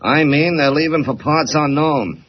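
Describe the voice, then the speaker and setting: An actor playing a gruff, folksy coast guard sailor with brusque, colloquial speech in 1940s radio style. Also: male voice, a little high, unhurried, natural voice. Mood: matter-of-fact. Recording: muffled old radio recording, faint noise.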